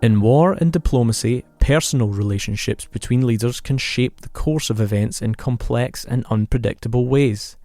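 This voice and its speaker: A man's voice: fairly low pitch, mellow, no echo, studio quality. A man reading a scripted introduction to an audio essay as its announcer.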